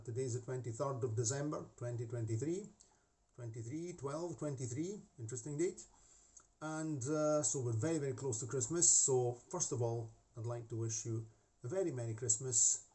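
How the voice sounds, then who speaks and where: A man's voice: medium pitch, mellow. A middle-aged man with a Scottish-tinged accent, chatting to camera from his hobby room with many restarts and asides. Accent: Scottish-tinged English. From half Scottish. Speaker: hobby model-making channel host. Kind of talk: casual chat to camera. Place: home hobby room with desk. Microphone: camera facing speaker.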